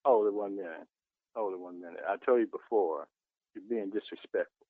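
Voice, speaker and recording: A man's voice: low-pitched, fairly quick, no echo. A man arguing heatedly on the phone, indignant and emphatic, repeating his phrases. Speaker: church member receiving a prank call. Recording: tinny telephone line.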